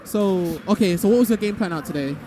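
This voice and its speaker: A man's voice: sits high, warm tone. A man hosting a live stream and interviewing players in a loose, casual way. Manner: rapid and loose, with many fillers and restarts.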